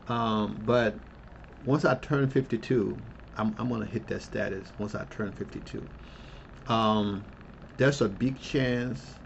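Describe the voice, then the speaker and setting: Gravelly fifty-something man, lo-fi. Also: fairly low pitch, a little hiss or hum. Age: 50s. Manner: rambling, with frequent ums and restarts. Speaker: investing channel host. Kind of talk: casual walkthrough of a portfolio tracker. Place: Colombia.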